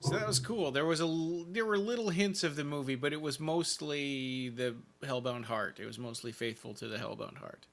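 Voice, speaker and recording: A man co-hosting a podcast, talking casually through a review in a hesitant, measured way. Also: on the high side, steady tone, vocal fry, a bit bright. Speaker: podcast co-host.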